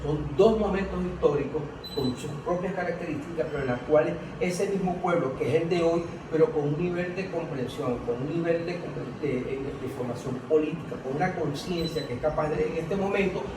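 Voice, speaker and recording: A man's voice: on the high side, brisk, hardly any pauses. A Venezuelan man speaking as a political analyst in an informal panel discussion, earnest and insistent, repeating phrases for emphasis. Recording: echoey room, distant mic, clear noise.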